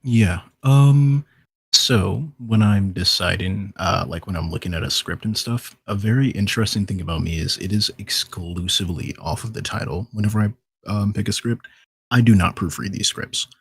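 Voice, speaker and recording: A man's voice: on the low side, moderate pace, slightly rough, no echo. A man chatting casually and candidly as a voice actor guest on a podcast. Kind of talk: casual chat.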